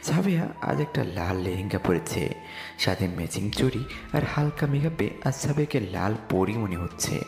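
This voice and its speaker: A man's voice: on the low side, wildly swooping pitch, normal speed, vocal fry, darkish tone. A young man narrating a dramatised story in the first person. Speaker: narrator and lead voice actor. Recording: echoey reverb with music behind.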